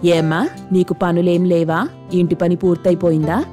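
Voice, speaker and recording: A woman's voice: fairly low pitch, little pitch movement, moderate pace, faint music behind, natural voice. A woman playing a greedy, nagging middle-aged mother-in-law who scolds and demands in a cartoon moral story. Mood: suspicious, bossy. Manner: scolding, demanding, sharp-tongued. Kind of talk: dramatic Telugu moral story cartoon dialogue.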